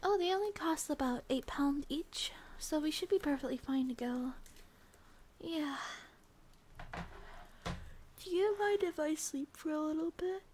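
Sleepy, affectionate student girlfriend for ASMR roleplay, played by a woman: high, soft, hesitant, unhurried delivery. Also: lively intonation, a little hiss or hum. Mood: sleepy, cuddly. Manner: soft, drowsy, hesitant, giggly.

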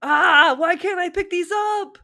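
A woman voicing an excitable, whiny young male ninja in an overdramatic, exclaiming way for a fan fiction reading. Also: very high voice, unhurried, pitched above the performer's own voice, exaggerated voice. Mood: frustrated.

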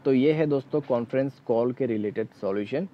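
A man with a mid-pitched voice talks listeners through phone steps as a tutorial host. He is instructional and often checks that they follow. The voice-over sounds dull and lo-fi. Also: fairly quick. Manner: instructional, repeatedly checking in with "okay". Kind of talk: spoken step-by-step phone tutorial.

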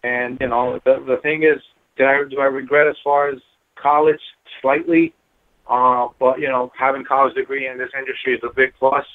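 A man's voice: mid-range voice, level tone, moderate pace. A middle-aged man talking casually and earnestly as an interview guest, peppering his speech with "you know". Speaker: guest, building engineer. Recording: thin phone-line sound.

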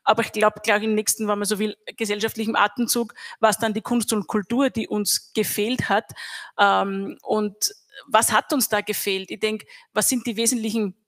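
A middle-aged Austrian woman speaks on a stage panel, holding a handheld mic. Her pitch stays flat and steady as she thinks aloud in a measured, reflective way. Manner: measured, reflective, even delivery. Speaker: panel guest, academy head. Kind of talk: panel discussion.

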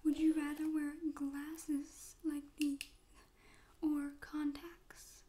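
A young woman speaking in a breathy, mostly whispered ASMR style, gently and informally asking questions as if interviewing a friend. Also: high voice, completely flat delivery, drawn-out words, a little hiss or hum. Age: young adult. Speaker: ASMR host. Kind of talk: soft-spoken ASMR friend interview roleplay. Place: small room at home, indoors.

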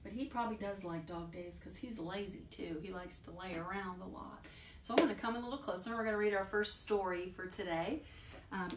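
A woman's voice: mid-range voice, reverberant, distant mic, slight background noise. American woman leading preschool storytime. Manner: simple, expressive explanations for small children. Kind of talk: children's storytime talk and read-aloud. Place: small indoor room. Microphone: camera a few feet away.

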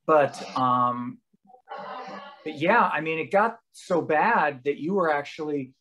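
American man, halting casual talker. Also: fairly high pitch, animated, a bit bright, faint music behind, low-quality recording. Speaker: host and touring musician. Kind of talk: casual video-call chat. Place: room at home with bookshelves.

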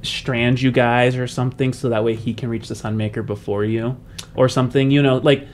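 A young man casually discussing a game story, informal and halting as he rephrases his thoughts. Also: medium pitch, steady tone, a little hiss or hum. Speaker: podcast panelist posing questions. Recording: music playing behind the voice. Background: background music.

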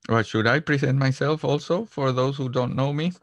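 A middle-aged man from Peru explaining his work in a halting way on a video call, speaking into a headset. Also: medium pitch, no room sound, muffled recording. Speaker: experience capitalization specialist. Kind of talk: introducing himself in an online meeting. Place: book-lined office indoors, on a video call.

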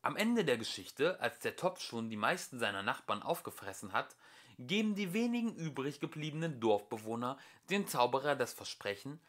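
Lively, bright-voiced young man reading aloud. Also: mid-range voice. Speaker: amateur audiobook reader. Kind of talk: expressive audiobook narration.

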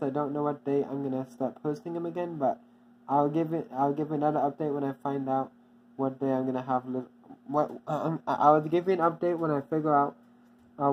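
A channel owner giving a casual, off-the-cuff update, halting and repeating itself often with many restarts. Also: medium pitch, lo-fi sound, slight background noise.

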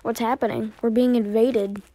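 Woman, higher-pitched voice, amateur voice acting, homemade animated story dialogue. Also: normal speed. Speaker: amateur voice-over performer.

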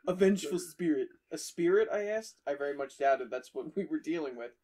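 A man's voice: a little high, lively intonation, a little fast, mellow. A man reading a horror story aloud on a podcast, stopping for jokey asides to his friends. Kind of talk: casual story reading with banter.

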